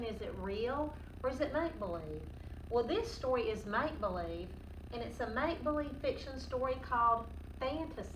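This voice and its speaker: A woman teacher doing a children's read-aloud lesson in an instructive, questioning, sing-song manner. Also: fairly high pitch. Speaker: teacher reading a picture book aloud to children. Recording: roomy, distant mic, noticeable background noise.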